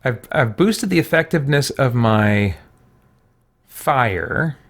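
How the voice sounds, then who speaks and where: A man's voice: medium pitch, lively intonation, slow pace, fairly bright tone. A man streaming a video game, thinking aloud in casual commentary and chatting with viewers. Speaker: gaming streamer. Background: faint game music.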